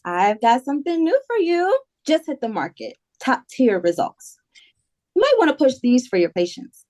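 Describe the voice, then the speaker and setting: High, lively, pushy saleswoman acted by a woman. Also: moderate pace. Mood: persuasive. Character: Zora, pushy, upbeat woman drug seller. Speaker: actor in a table read. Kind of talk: dramatic script table read.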